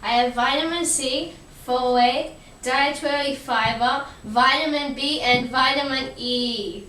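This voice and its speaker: A woman's voice: sits high, takes it slowly, bright, forceful tone, full character voice. A young woman voicing a cheery vegetable puppet, reciting earnestly in a school puppet show. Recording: roomy, noisy, distant amateur recording.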